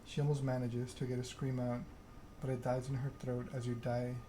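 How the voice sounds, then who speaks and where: A man's voice: medium pitch, monotone, fairly quick, mellow, poor audio. Evenly spoken man narrating, ambient noise behind. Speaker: streamer reading a game aloud. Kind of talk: ASMR narration of a story game. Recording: clear background ambience noise.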